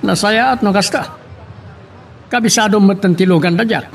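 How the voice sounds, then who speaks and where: A secretive boss in a Filipino radio drama. His voice is high for a male, businesslike and commanding, with faint street noise behind. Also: normal speed, gravelly. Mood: scheming, commanding.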